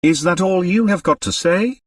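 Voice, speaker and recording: A man voicing a curt, grim millionaire father-in-law in an audiobook, speaking in a brisk, dry, businesslike way. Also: high-pitched, dry recording, recorded from a distance, pitched above the performer's own voice. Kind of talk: audiobook character dialogue.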